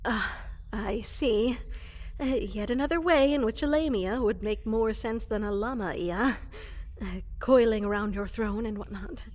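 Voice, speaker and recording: A woman's voice: on the high side, a little slow, a little mellow, lightly acted. Woman acting a reverberant, eager, fluffy llama-girl minion. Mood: eager, deferential. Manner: flustered, hesitant, deferential, formally polite. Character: eager, fluffy woolly llama-like woman applying as evil advisor. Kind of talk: roleplay ASMR character acting. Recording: long reverberant hall echo.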